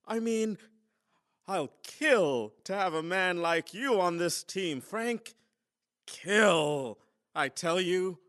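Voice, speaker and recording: Flustered high-pitched scheming boss. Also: male voice, a bit bright, heavily acted. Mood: suppressed fury. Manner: theatrical, exclaiming, stammering cover-ups. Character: flustered, scheming, debt-ridden male mission boss, two-faced. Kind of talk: radio comedy acting.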